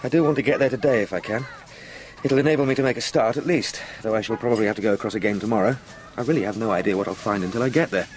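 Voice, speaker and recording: An actor playing an eager, courteous young London solicitor in a radio drama, chatty and well-spoken with an English accent. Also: male voice, lowish voice, rapid-fire, lightly acted, low-quality recording.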